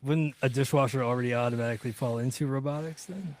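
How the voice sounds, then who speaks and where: A man's voice: mid-range voice, fast talker, no echo. A man chatting casually as a podcast co-host.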